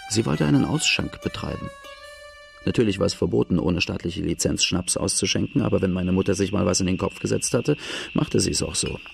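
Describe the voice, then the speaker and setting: An actor playing a young Australian farm-boy outlaw, telling his hard youth in a plain, earthy, measured radio drama narration with a low gravelly voice. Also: faint music behind. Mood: plain, reflective.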